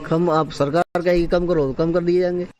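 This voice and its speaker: Man, street interviewee, raised pitch, casual answer, busy crowd background. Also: fairly even intonation, normal speed. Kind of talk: spontaneous opinion to camera. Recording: outdoor interview with crowd babble.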